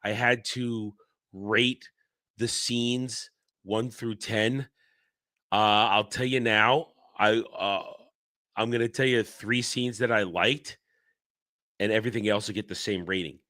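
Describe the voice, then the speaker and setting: A man's voice: lowish voice, fairly even intonation, slow pace, bright, forceful tone, no room sound, studio quality. A man hosting a casual livestream, sick, congested and tired, talking bluntly and rambling with restarts. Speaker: livestream host.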